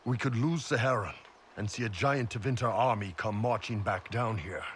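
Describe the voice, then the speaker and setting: An actor playing a big, laid-back horned mercenary warrior in a video game, talking bluntly and casually. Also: male voice, on the low side, normal speed, a bit bright, slight background noise, lightly acted. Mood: wary.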